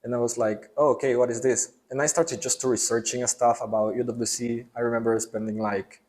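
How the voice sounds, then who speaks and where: A man's voice: mid-range voice, level tone, fairly quick, a little mellow. A young man from El Salvador, a student, telling his story as an interview guest in a loose, rambling way with many fillers. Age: young adult. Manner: rambling, lots of fillers and restarts. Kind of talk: casual conversational interview.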